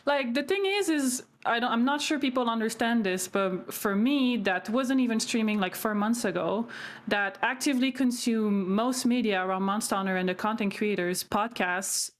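A woman's voice: on the high side, moderate pace. A woman of twenty-seven chatting casually and emphatically as a podcast guest from a room at home. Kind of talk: casual chat. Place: small room at home, indoors.

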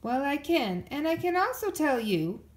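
Mother bear for a picture book, stern and sensible, high firm delivery, acted by a woman reader. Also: very animated, a little slow. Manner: firm, explaining.

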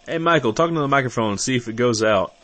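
A man plays a grumpy radio station co-owner in a comic audio drama. His mid-pitched voice swings widely with exasperation and sarcasm. A hum runs behind it. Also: expressive, rapid-fire, close to an everyday voice. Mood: weary, irritated. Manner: irritable, sarcastic. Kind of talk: comedic audio drama dialogue.

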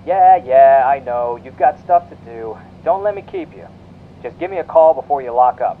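A man's voice: mid-range voice, animated, normal speed. An actor playing a chummy, nosy male office coworker, talking casually through a telephone filter. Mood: teasing, casual. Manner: casual, buddy-like. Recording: tinny phone line with faint noise.

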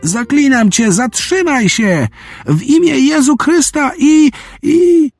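High-pitched frightened prince, acted by a man. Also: expressive, takes it slowly, creaky, a little mellow, no echo, faint music behind, heavily acted. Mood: desperate pleading. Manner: breathless, pleading, stammering. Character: frightened young prince, pleading, shaken.

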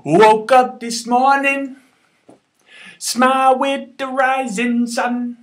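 Slow, casual young man singing and bantering. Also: extremely high pitch, little pitch movement. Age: young adult. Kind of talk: casual amateur singing and banter between takes.

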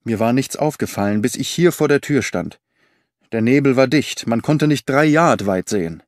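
Man, audiobook narration, measured and clear, voicing dialogue, dry close mic. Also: mid-range voice, lively intonation, dry recording. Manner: measured storytelling reading, shifting tone for quoted characters.

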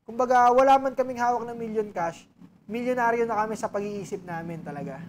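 A man's voice: very high voice, a little fast, a little hiss or hum. A man, a podcast guest, speaking earnestly and motivationally in a casual chat, often addressing viewers directly as guys, recorded in a barbershop studio.

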